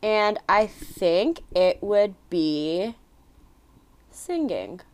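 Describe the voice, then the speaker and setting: A woman's voice: mid-range voice, big pitch swings, extremely slow, darkish tone. Laughing, swearing woman in her late twenties. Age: late 20s. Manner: swears freely, laughs often, lots of "like". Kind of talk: casual chat.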